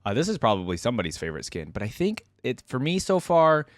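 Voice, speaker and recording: A man's voice: mid-range voice, huge pitch swings, fast talker, no room sound, clean studio sound. A man giving casual, enthusiastic reaction commentary as a video host, thinking aloud in an informal way.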